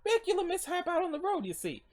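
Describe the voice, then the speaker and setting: A performer acts out a courteous, flowery traveller for a comic dub. The voice is pitched high and swings theatrically, genteel and ornate. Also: sing-song, fast. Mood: urgent, courteous. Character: polite, flowery, theatrical traveller pleading for help.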